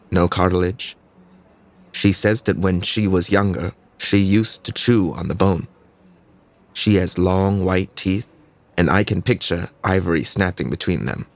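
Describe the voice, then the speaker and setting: Deep-voiced brooding young man acting as a student narrator. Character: troubled, introspective young male student narrating his own life. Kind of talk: scripted dramatic monologue narration.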